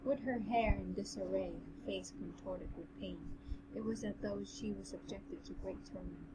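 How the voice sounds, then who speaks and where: Amateur female story narrator, mid-pitched, reading fantasy prose, very noisy home recording. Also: moderate pace. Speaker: co-host and narrator of a novel reading. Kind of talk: amateur narration of a fantasy novel with casual commentary.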